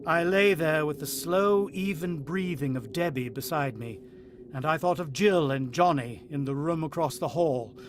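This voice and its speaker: A brooding, uneasy family man, played by a male actor in an old-time radio play. His voice sits fairly high for a man. He speaks in a musing, dramatic way with weighted pauses, over faint noise. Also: natural voice. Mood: tense narration. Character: brooding, uneasy husband and father narrating a bird attack. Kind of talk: vintage radio drama acting.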